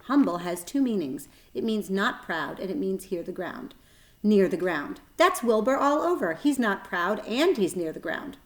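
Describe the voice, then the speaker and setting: A woman voicing a gentle, wise, motherly female spider from a storybook, patient and reassuring. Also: mid-range voice, very animated, moderate pace, natural voice. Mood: thoughtful.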